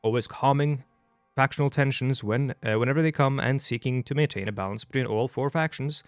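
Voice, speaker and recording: A man's voice: medium pitch, brisk, smooth, no room sound. Rambling Norwegian-accented young man. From Norway. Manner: chatty and rambling, with frequent restarts. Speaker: let's play host. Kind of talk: casual gameplay commentary. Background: faint background music.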